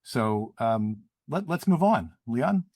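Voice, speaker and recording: A man's voice: mid-range voice, big pitch swings, takes it slowly, mellow, no room sound, clean studio sound. A man hosting a podcast, introducing a guest in a friendly manner and then asking questions. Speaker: podcast host.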